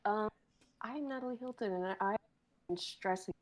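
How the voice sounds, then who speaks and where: A woman's voice: mid-range voice, normal speed. A woman in her twenties rambling casually and comically on a livestream, self-mocking and a little dramatic. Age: 20s. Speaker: livestream performer, regular of the show.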